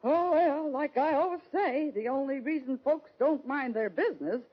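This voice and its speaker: Woman playing brassy, high-pitched frontier gal. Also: normal speed, close to an everyday voice. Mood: brisk, offhand. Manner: bold, colourful folksy exclamations. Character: brassy, flirtatious frontier woman running a warehouse. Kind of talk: 1940s-50s radio Western acting. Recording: muffled, hissy vintage radio recording.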